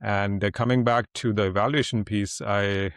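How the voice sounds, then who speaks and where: Blunt Indian man with a low voice. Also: fairly even intonation, normal speed, clear, resonant tone, no echo, studio quality. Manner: blunt, talkative, rambling with frequent "uh" fillers. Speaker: guest, public speaking coach. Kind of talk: casual interview storytelling.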